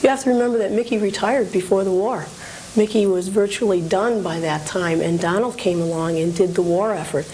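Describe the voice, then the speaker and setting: A woman's voice: mid-range voice, a little fast, darkish tone, low-quality recording. A woman speaking conversationally as an interview guest, explaining collectibles in a matter-of-fact, informative way. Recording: hissy 1980s cable TV recording.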